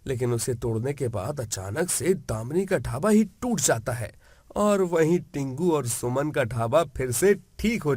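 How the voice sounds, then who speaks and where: Slow-paced man narrating a children's story. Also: mid-range voice, darkish tone, husky, dry recording.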